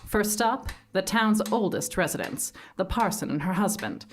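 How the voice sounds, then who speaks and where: A woman's voice: medium pitch, expressive, moderate pace, faint music behind, close to an everyday voice. A woman voicing a nervous, earnest academic in a live-staged radio drama. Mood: anxious. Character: anxious Canadian academic, Miss Prestoon.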